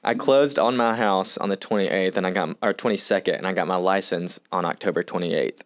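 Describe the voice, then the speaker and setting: A man's voice: sits low, fairly quick. A young man, a podcast guest, telling his story loosely and laughing between sentences. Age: young adult.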